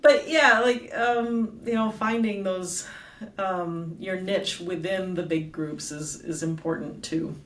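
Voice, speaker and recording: A middle-aged woman talking as a guest and author in a casual interview, chatty and quick to laugh, with lots of fillers. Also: on the low side, fairly bright tone, a little hiss or hum. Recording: roomy echo, distant from the mic.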